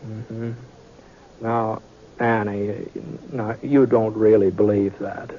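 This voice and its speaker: Halting, mild cowboy, noisy tinny radio. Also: male voice, lowish voice, flat delivery. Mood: earnest, patient. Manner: hesitant, halting, folksy drawn-out phrasing. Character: mild, reasonable drifting cowboy gunslinger hero. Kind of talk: old-time radio western acting.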